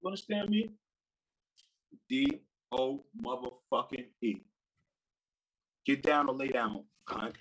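A man's voice: medium pitch, big pitch swings, slow pace, gravelly, long pauses, fairly bright tone, slightly rough, low-quality recording. A man giving a loose, swaggering mock wrestling promo full of slang, laughing as he taunts. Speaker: podcast guest competitor.

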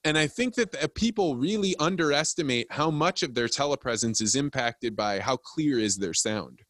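A man hosts a casual podcast in a medium-pitched voice. He explains things in a conversational, advice-giving way. The sound is dry, with very little room on it. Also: expressive, moderate pace, a bit bright, no room sound. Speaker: podcast host.

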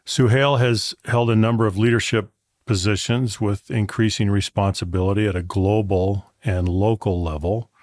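A man hosting a podcast interview, introducing his guest in a courteous, measured, articulate way. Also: lowish voice, fairly even intonation, darkish tone, dry recording, studio quality. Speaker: podcast host.

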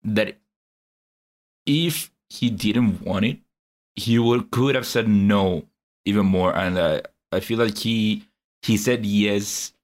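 Slow, low-voiced man musing on a film. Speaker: podcast co-host. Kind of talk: casual film review chat.

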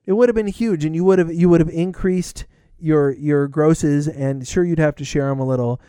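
A man in his thirties hosting a podcast, chatting casually in excited bursts with lots of restarts. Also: on the high side, normal speed, clear, resonant tone, no echo, clean studio sound. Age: older than co-host, roughly 30s. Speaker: podcast host. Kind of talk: casual chat.

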